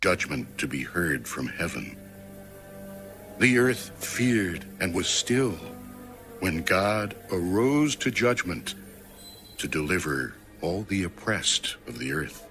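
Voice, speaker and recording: A man reading scripture aloud in a solemn, dramatic, measured audiobook style. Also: sits low, very animated, fairly bright tone, soft background music. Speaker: scripture reader.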